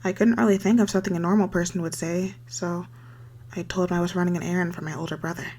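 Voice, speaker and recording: A woman playing an unstable, lovesick young circus girl turned kidnapper in an intimate ASMR roleplay, speaking haltingly in a breathy voice that slips into whispers. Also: fairly low pitch, steady tone, darkish tone, a little hiss or hum, close to an everyday voice. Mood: coy, unsettling. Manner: intimate, halting, stammering, swinging from sweet to desperate. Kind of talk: scripted ASMR roleplay monologue.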